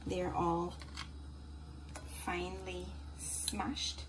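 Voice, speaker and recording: A woman with a Guyanese accent hosting a home cooking tutorial, giving calm step-by-step instructions and often addressing viewers as "guys". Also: fairly low pitch, extremely slow. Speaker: cooking channel host. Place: home kitchen. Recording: home recording with audible kitchen noise. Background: dishes, pots and kitchen clatter.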